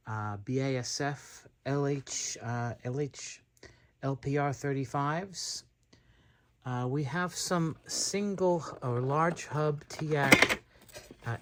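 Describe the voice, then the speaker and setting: A man presenting stock in an unscripted unboxing walkthrough, listing items in a halting way as a seller. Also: medium pitch, a little hiss or hum. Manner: halting, itemizing.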